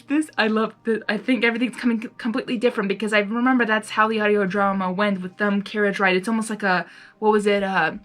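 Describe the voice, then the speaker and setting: A woman doing a casual read-aloud with commentary, expressive and often breaking off into exclaiming asides. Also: medium pitch. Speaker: reader of fan fiction, reacting as she goes.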